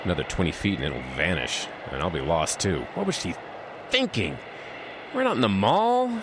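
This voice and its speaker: Noise sits behind a freezing, exasperated groom-to-be. His lowish voice moves animatedly as he frets and mutters to himself in horror radio-play fashion. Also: very animated, moderate pace. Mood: anxious, exasperated. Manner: fretful, self-talking. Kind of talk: horror audio drama acting. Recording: noisy dramatised scene.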